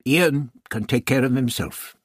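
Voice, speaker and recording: A man's voice: medium pitch, big pitch swings, takes it slowly, husky, no room sound, clean studio sound, pitched above the performer's own voice, full character voice. A man voicing an irascible, authoritative older doctor in an audiobook story, with emphatic, old-fashioned phrasing. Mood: urging, persuasive. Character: irascible, authoritative older male doctor, gruff but kindly.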